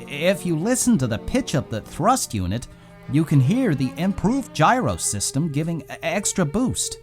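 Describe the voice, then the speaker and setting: An earnest male boffin acted by a man explains eagerly and politely. His fairly high voice swings dramatically in pitch over light music, as in an audio adventure serial. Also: sing-song, normal speed. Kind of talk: audio adventure story acting.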